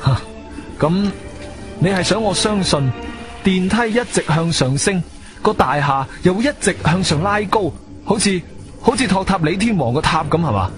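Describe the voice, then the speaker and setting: A man's voice: high voice, expressive, normal speed. An actor with a Guangzhou Cantonese accent playing an inquisitive, self-assured investigator who tells his own story in a theatrical radio drama style. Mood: incredulous. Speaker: narrator and lead actor. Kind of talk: Cantonese radio drama, first-person narration and dialogue. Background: music.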